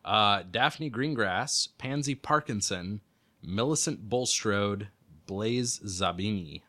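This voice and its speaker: A man's voice: fairly low pitch, takes it slowly, pushed and bright. A man acting as a podcast producer, casually reading out quiz questions and chatting with dry, wry remarks.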